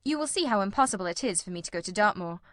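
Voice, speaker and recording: A woman voicing a self-assured, authoritative male gentleman detective in an audiobook story. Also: medium pitch, animated, very fast, no echo, clean studio sound, close to an everyday voice. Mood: decisive.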